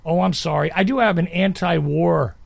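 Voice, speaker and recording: Gravelly, emphatic male podcast host. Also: high-pitched, normal speed, no echo. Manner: rambling, emphatic, self-interrupting. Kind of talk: conversational interview.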